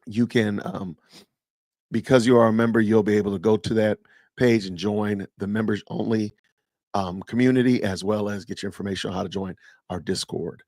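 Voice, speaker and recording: Gravelly low-voiced man in his fifties. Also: fairly even intonation, normal speed, a little mellow, no echo. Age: 50s. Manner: chatty, reads and answers live chat aloud. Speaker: podcast host. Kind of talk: casual live stream chat with viewers.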